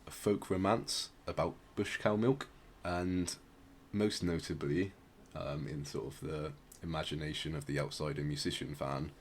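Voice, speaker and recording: A man hosting a podcast, explaining things casually in a hesitant, measured, dry way. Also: extremely low pitch, steady tone. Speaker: podcast host presenting outsider music. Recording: clear background noise.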